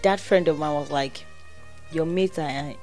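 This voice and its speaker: A woman's voice: low voice, a little slow, darkish tone, faint music behind. A young Nigerian woman with a Nigerian accent, telling rambling stories in a casual chat, with many restarts. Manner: chatty storyteller, many restarts and quoted voices.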